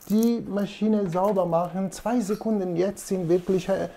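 A man in his forties with an Italian accent, a native Italian speaker, giving a casual, halting, self-deprecating demonstration in a gravelly voice. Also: high-pitched, dark tone. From Italy. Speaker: presenter demonstrating espresso machines. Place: small indoor coffee showroom. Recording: noticeable background room noise.

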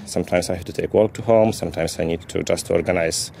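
A man from Poland speaking English with a Polish accent in an interview, in halting, non-native phrasing with frequent restarts. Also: low-pitched, steady tone, creaky, a little mellow, slight background noise. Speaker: interviewee, ship designer.

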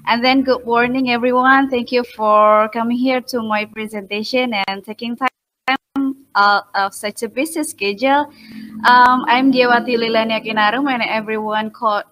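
A woman lecturer from Indonesia with an Indonesian accent, giving a conference talk over a video call in a halting, polite way, with many fillers and restarts. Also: on the high side, steady tone, a bit bright, rough recording. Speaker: midwifery lecturer and researcher. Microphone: laptop webcam mic on a video call.

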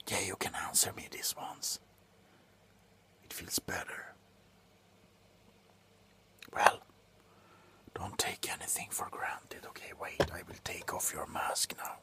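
A tipsy man playing a callous, grumpy doctor in a slow, sullen ASMR roleplay, whispering sarcastically up close. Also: low voice, bright, forceful tone, heavily acted. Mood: sullen, dry. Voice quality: breathy whisper. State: drunk. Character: grumpy, callous, drunken male doctor on a house call. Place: small cluttered room indoors.

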